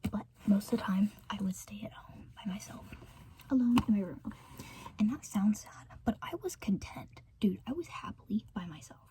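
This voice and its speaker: A woman's voice: medium pitch, moderate pace. An American woman whispering through a rambling, filler-filled ASMR chat, trailing off and giggling between thoughts. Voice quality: soft unvoiced whisper. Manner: meandering, filler-heavy, giggly chatter. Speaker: ASMR creator.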